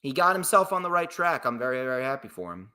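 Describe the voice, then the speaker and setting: A man's voice: medium pitch, sing-song, fast talker, sharp tone. A young man co-hosting a casual wrestling podcast, swearing freely and arguing back with a laugh. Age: young adult. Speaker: podcast co-host and DJ.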